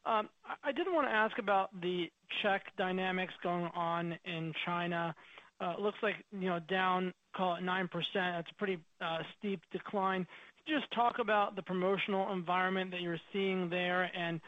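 A man's voice: high-pitched, fairly even intonation, moderate pace, fairly bright tone, no room sound. A man asking a question as a financial analyst on an earnings call, informal and a little hesitant in his phrasing. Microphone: conference call line. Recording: dry, dull conference phone audio.

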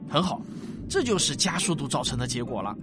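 A man's voice: medium pitch, lightly acted. A man playing a patient, affectionate father who explains science didactically, stretching his phrase endings, in a sci-fi audio drama. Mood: instructive. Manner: didactic, drawn-out sentence particles, animated. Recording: music bed behind voice.

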